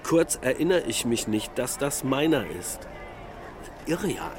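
A man's voice: mid-range voice, sing-song, unhurried. An actor playing a melancholic middle-aged husband and father in a naturalistic radio drama, musing reflectively in short sentences. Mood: musing, unsettled. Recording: loud urban traffic ambience.

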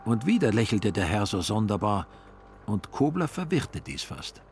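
A man narrating a satirical literary radio play in a measured, dryly ironic way. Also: lowish voice, very animated, a little hiss or hum. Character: ironic male narrator of a satirical 1930 novel. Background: faint music.

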